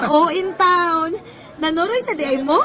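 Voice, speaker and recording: A woman's voice: very high-pitched, takes it slowly, a crowd in the background, background noise. An actress playing a playful, encouraging teenage schoolgirl in a radio drama, with a Cebuano accent and a teasing manner. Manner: giggly, teasing. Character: playful, encouraging teenage high school girl, best friend. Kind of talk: Bisaya radio drama acting.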